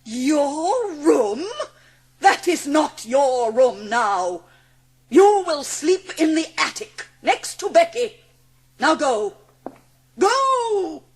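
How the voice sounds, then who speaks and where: A woman playing a cruel, cold-hearted schoolmistress in an audiobook drama, imperious and scornful, with drawn-out emphasis. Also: high-pitched, sing-song, full character voice. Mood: stern, contemptuous.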